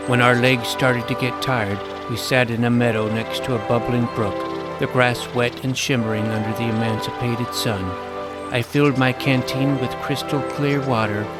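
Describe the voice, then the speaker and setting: A man's voice: mid-range voice, fairly even intonation, normal speed, close to an everyday voice. A man plays an eager young fantasy adventurer in an audio drama, with music running under him. He tells his quest in florid, wry, scripted style and speaks his own lines as the boy. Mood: wry, wistful. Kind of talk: scripted first-person story narration with acted dialogue.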